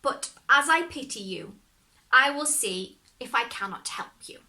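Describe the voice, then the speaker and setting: A woman voicing the devil's old grandmother in a storybook, brisk, commanding and scolding, yet pitying. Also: fairly high pitch, lively intonation, sharp tone, exaggerated voice. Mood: pitying, advising.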